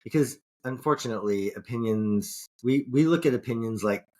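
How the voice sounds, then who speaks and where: A man's voice: medium pitch, normal speed, darkish tone. Rambling, profane male podcast host. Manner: rambling, profane, frequent restarts. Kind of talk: casual podcast chat.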